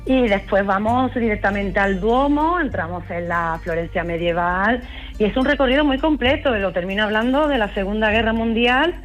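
A woman's voice: mid-range voice, expressive, normal speed. A woman from Huelva with an Andalusian accent, a tour guide answering interview questions in an enthusiastic, explanatory, conversational way. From Huelva, Spain. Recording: music playing behind the voice. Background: music.

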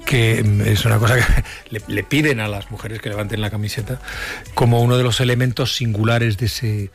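Male interview host, lowish gravelly voice, informal, halting delivery with searching stammers. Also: steady tone, faint music behind. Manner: hesitant, stammering, searching for words. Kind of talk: casual conversational interviewing.

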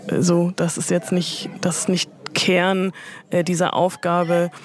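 A woman's voice: lowish voice, little pitch movement, unhurried, slight background noise. A woman answering interview questions thoughtfully, often qualifying her points with fillers. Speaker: interview guest.

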